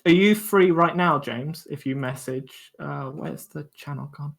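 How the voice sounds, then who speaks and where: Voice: mid-range voice, measured pace, creaky. A young adult co-hosts a livestream in casual, informal chat with playful banter. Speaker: co-host.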